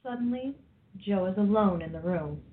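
A woman narrates a radio play from a script. Her medium-pitched voice moves animatedly up and down. The sound is narrow, like a telephone line. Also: animated, moderate pace. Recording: thin phone-like sound.

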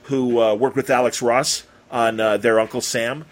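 A man's voice: mid-range voice, flat delivery, moderate pace. An American man hosting a casual interview, talking in a rambling, effusive way. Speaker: podcast interviewer.

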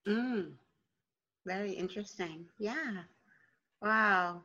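Chatty bright-voiced woman host, lo-fi sound. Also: medium pitch, takes it slowly. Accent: New Jersey. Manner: chatty, rambling, laughs easily. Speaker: radio show host. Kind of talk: casual interview chat. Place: home office.

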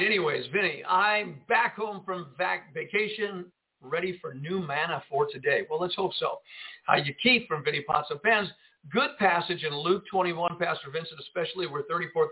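A man, a pastor and radio host, preaching fervently and greeting listeners on a live broadcast, swinging between loud emphasis and quieter asides. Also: fairly high pitch. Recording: tinny phone-line audio.

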